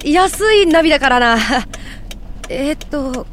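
An actor in a Japanese audio drama playing a composed figure who explains things in a measured way and gently guides others. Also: high voice, animated, slight background noise. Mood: puzzled.

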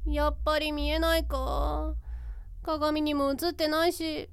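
A woman's voice: high-pitched, flat delivery, measured pace, gravelly, no room sound, clean studio sound. A woman voicing a carefree, chatty young female ghost in a voice drama, with a casual, perky, pleading manner. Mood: puzzled, casual. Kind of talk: Japanese voice drama acting.

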